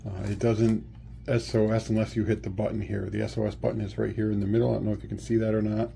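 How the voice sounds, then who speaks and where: A man's voice: on the low side, little pitch movement, quick pace, gravelly, mellow. A man giving a casual product demonstration, explaining it plainly step by step. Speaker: product reviewer. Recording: faint background noise, room ambience.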